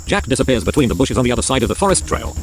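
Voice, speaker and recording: A male narrator reads a scripted action story at a brisk pace. His voice sits at a middling pitch, and music plays clearly behind it. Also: sing-song.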